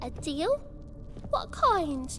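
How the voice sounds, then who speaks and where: A woman voicing a mischievous, childlike little female creature in a fantasy video game, impish and excitable. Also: very high voice, drawn-out words, noisy background, exaggerated voice. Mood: eager, pleased. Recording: loud music behind.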